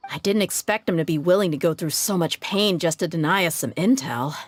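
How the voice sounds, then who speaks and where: A woman voicing a tough, confident, blunt female mercenary in a video game, with a brisk, cocky manner. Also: lowish voice, lightly acted. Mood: calm, concerned. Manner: brisk, cocky, casually menacing.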